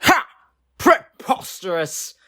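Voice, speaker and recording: Woman as a slow, blustering, indignant suspect. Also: bright, forceful tone. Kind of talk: amateur comedy whodunnit acting.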